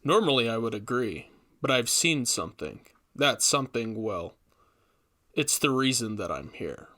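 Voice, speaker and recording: A man's voice: medium pitch, sing-song, normal speed, pushed and bright. A man voicing an earnest, troubled young forest ranger in a storybook reading, thoughtful and hesitant. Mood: grave.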